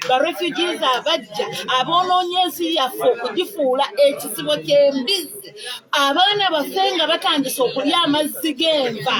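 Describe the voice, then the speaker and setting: Ugandan woman, fired up, high voice, loud crowd behind her. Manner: fervent, rousing. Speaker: conference attendee. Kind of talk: impassioned speech to a crowd.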